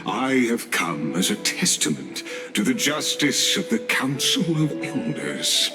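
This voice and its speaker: A man's voice: on the low side, very animated, unhurried, over music. A man voicing a courtly, inscrutable otherworldly envoy in a fantasy video game, with ceremonious and unctuously polite phrasing. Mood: courtly, measured.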